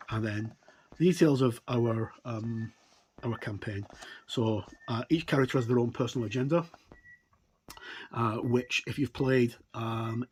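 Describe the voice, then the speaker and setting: A man's voice: medium pitch, darkish tone. Man giving a casual review, noisy recording. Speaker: tabletop game reviewer. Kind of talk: casual flip-through product review, unscripted. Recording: clear background noise with clicks.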